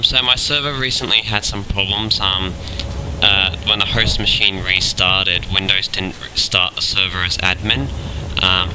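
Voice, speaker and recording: Young man with a cold, low voice, halting relaxed gameplay talk over audible game noise. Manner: relaxed, many ums and uhs. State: has a cold. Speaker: gaming video host. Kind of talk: casual gameplay commentary. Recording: game sounds clearly behind.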